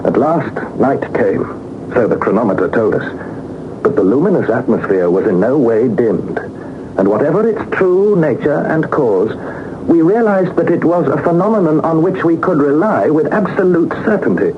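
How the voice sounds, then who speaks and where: Earnest young explorer, narrating through phone-like static. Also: male voice, fairly high pitch, sing-song. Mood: solemn wonder. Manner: measured, literary, dramatic storytelling. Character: earnest young nephew narrating his expedition log. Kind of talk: 1960s radio drama narration. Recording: noisy phone-like old 1960s radio recording.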